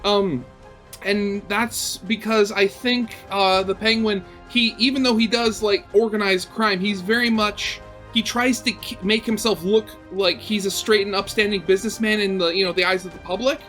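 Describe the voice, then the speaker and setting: A man's voice: high-pitched, fairly even intonation, normal speed, a bit bright, faint music behind. A young man hosting a reaction video, chatting casually and rambling with lots of fillers and restarts.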